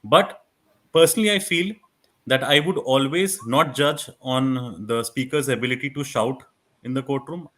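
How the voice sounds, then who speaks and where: A man with an Indian accent and a loud voice, explaining things conversationally as a guest expert, often restarting his phrases. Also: medium pitch, normal speed. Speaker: guest expert on moot court. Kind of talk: conversational advice in an online workshop.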